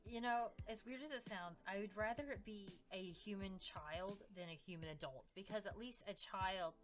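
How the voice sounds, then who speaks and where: A woman's voice: medium pitch, normal speed, soft background music. A woman co-hosting a podcast in character as a fey demon, telling family stories in an informal, hesitant way. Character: fey demon woman gossiping about humans. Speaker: podcast co-host.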